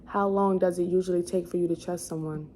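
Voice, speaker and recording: A woman in her twenties speaks in a fairly low voice, as if chatting to her vlog viewers. She thinks aloud in a rambling way, doubling back to restart and correct herself. Some ambient noise sits behind her words. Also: fairly even intonation, a little fast, dark tone. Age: 20s. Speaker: vlogger. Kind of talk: casual chat, answering questions to camera. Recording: clearly noisy room ambience.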